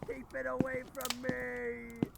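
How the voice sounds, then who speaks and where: A woman's voice: high voice, completely flat delivery, slow, vocal fry, heavy background noise, full character voice. A woman playing a frightened, panicked victim in an audio drama.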